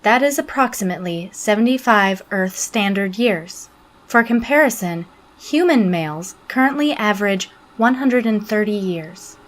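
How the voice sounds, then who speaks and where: A woman playing a literal, humorless alien Starfleet officer in an audio drama, speaking formally and exactly with a deadpan manner. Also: mid-range voice, normal speed, slight background noise, lightly acted. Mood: cool, probing. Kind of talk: scripted audio drama log and interview.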